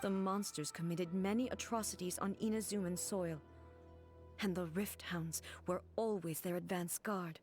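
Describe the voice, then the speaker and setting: Woman playing a solemn, soft-spoken divine ruler. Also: on the low side, fairly even intonation, faint music behind, natural voice. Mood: grave. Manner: measured, grave, soft-spoken. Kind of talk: video game cutscene dialogue.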